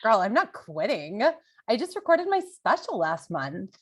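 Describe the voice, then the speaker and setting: A woman's voice: mid-range voice, big pitch swings, a little slow, breathy edge. A woman reading the part of an effervescent comedian in her late thirties at an online table read, breezy and emphatic. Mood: confident.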